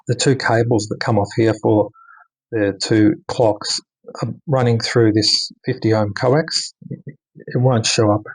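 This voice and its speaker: A man giving a casual, unscripted hands-on technical demonstration, speaking haltingly and often repeating words for emphasis. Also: mid-range voice, breathy edge, rough recording. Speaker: electronics hobbyist presenting a radio mod.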